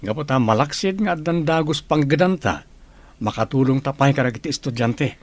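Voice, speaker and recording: A man's voice: mid-range voice, expressive, a little fast, close to an everyday voice. A man with an Ilocano accent playing a patient, humble schoolteacher in an audio drama. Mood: earnest. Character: earnest, patient male schoolteacher, humble and hardworking. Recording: clearly noisy background.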